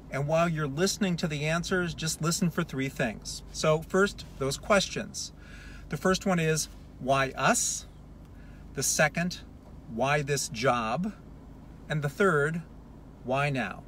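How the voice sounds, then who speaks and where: Male consultant, fairly high register, emphatic explainer style with deliberate pauses, light ambient noise behind. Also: fairly bright tone. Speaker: hiring consultant giving advice. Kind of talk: advice talk to camera, explanatory.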